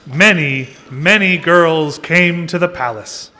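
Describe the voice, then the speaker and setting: A man narrating a children's puppet show in deliberate, expressive storybook style. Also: a little high.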